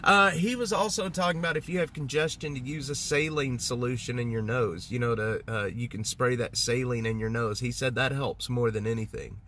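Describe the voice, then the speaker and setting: A man's voice: mid-range voice, fairly quick, hardly any pauses, fairly bright tone, a little hiss or hum. A man, congested, chatting casually on a livestream in a rambling way with lots of fillers and restarts. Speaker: livestream host. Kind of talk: casual livestream chat with viewers.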